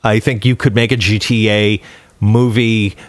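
A man's voice: fairly low pitch, level tone, a little slow, no echo. Man chatting casually, blunt storyteller. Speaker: podcast panelist. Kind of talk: casual chat and anecdotes.

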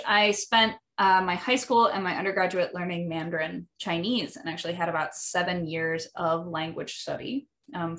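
A woman with a Midwestern American accent hosting an educational monologue, thoughtful and often pausing with ums and restarts. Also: on the low side, a bit bright. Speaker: podcast host.

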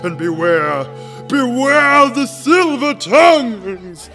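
An actor playing a pompous charlatan seer in an audio drama, with a theatrical, grandiose manner. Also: male voice, extremely high pitch, very animated, slow, bright, forceful tone, soft background music, exaggerated voice. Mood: hurried, theatrical. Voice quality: put-on showy weird voice. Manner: theatrical, grandiose, stammers when flustered.

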